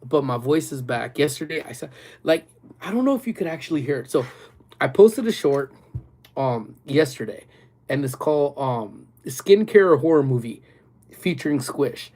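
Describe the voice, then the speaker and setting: A man hosting a casual livestream, chatting loosely with viewers, full of fillers and quick laughs, still a little congested and nasal. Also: on the high side, big pitch swings, a little mellow. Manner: rambling, loose talk with many fillers and frequent laughs. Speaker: livestream host.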